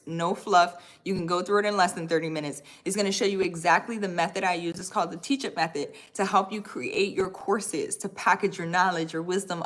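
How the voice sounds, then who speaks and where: A woman in her thirties chats casually on a livestream, friendly and upbeat. Her voice sits in a middle pitch, and she often drops in "y'all" and "right?" as she reads viewer comments. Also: a bit bright. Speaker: livestream host and course coach.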